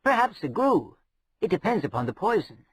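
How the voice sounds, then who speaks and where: A man voicing a sharp-tongued, scornful adventuring woman with a dark, wry manner. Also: sits high, very animated, moderate pace, breathy edge, pitched above the performer's own voice, heavily acted. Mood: wryly menacing.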